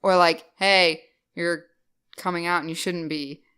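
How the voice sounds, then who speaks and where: A woman co-hosting a casual podcast, chatting animatedly with lots of "like" fillers and sudden loud bursts. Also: on the low side, steady tone, measured pace, clean tone. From Rhode Island. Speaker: podcast co-host. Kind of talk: casual chat.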